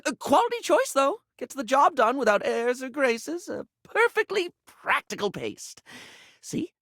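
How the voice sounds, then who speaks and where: Flamboyant male game character, giddy, theatrical, chatty, extremely animated pitch, dry recording. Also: unhurried, no room sound, heavily acted. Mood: dramatic, opinionated. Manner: theatrical, gushing, campy, with affectionate pet names. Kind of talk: video game character acting.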